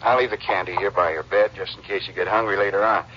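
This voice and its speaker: An actor playing an outwardly devoted, anxious rancher husband, folksy and solicitous, in a 1950s radio western. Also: male voice, deep voice, fairly even intonation, fast, sharp tone. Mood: coaxing, soothing. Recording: muffled, noisy 1950s radio broadcast.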